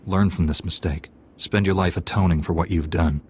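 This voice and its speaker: An actor playing a steady, principled young warrior apprentice in a video game, measured and firm. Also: male voice, low-pitched, slight background noise, lightly acted. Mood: calm, firm. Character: young male Jedi apprentice, steady, stern, principled hero. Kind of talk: video game cutscene acting.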